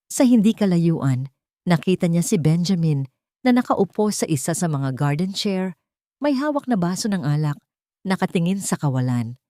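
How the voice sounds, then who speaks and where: A woman narrating a scripted romance drama in a calm, measured way. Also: on the low side, no room sound, studio quality.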